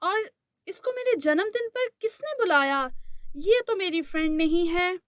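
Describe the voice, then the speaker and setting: A woman's voice: very high voice, animated, a little slow, dry recording, studio quality. A woman voicing a spoiled, haughty rich girl in a cartoon story, dropping English words into her dismissive talk.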